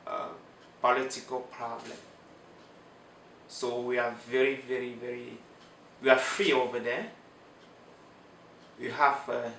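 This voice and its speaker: Slow-speaking man with a Cameroonian French accent. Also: medium pitch, sharp tone, roomy sound, distant mic, slight background noise, low-quality recording. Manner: slow, halting, with many pauses and restarts. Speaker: interviewee. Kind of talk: interview answers.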